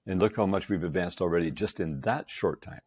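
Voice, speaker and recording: A man's voice: deep voice, fairly quick, darkish tone, breathy edge. A man in his sixties, a podcast guest, talking with enthusiasm and frequent emphasis. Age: 60s. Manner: enthusiastic, emphatic, laughs often. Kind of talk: casual chat.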